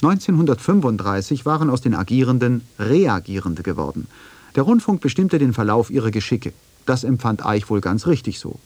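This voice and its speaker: A man reading scripted narration for a radio feature in a measured, factual, deliberate way. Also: medium pitch, very animated, a little mellow, breathy edge, no echo.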